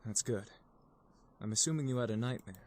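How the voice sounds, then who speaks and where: A man's voice: lowish voice, fairly quick, lightly acted. An actor playing a polite, considerate young man who fusses over his friends like a parent, in a story game. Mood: polite, concerned.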